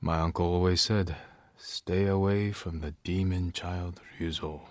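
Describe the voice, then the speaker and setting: An actor playing a stoic, honorable samurai warrior in a video game, with restrained, solemn, reflective delivery and a soft-edged husky voice. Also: male voice, low-pitched, steady tone, measured pace, dry recording, clean studio sound. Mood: wistful. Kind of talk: dramatic video game acting, reflective monologue.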